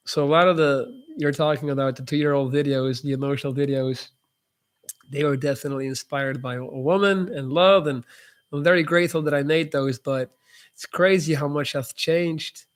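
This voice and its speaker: A young man with Dutch as his first language, hosting a casual live Q&A and reading viewer messages aloud with loose, restarting answers. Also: on the high side. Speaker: livestream host answering viewer questions.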